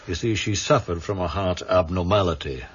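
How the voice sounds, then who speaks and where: Deep, husky pathologist. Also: male voice, muffled recording. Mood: clinical. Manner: clinical, deliberate. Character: dry, assured male forensic pathologist explaining findings. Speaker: police pathologist. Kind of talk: 1990s radio crime drama acting.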